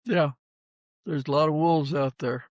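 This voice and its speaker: A man hosting a podcast and interviewing casually, informal and unhurried, on a slightly dull recording. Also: a little high, fairly even intonation, dry recording. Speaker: podcast host.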